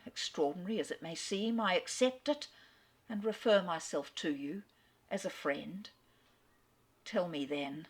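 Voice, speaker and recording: Earnest gentleman in love, halting but urgent, read by a woman, lowish voice. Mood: hesitant.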